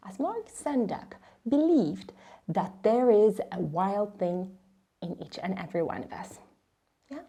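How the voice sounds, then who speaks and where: A middle-aged woman hosting a children's read-along, telling stories in an expressive, dramatic way that sometimes drops into a hush. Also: mid-range voice. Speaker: host of a children's read-along and craft show. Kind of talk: animated storytelling for children.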